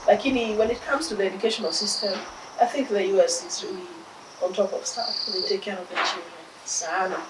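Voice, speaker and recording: A Kenyan woman in her fifties speaking in a casual interview, animated and laughing often. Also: medium pitch, fairly quick. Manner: animated, mixes in Swahili phrases, laughs often. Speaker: interview guest. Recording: distant mic, echoey room, loud insect noise.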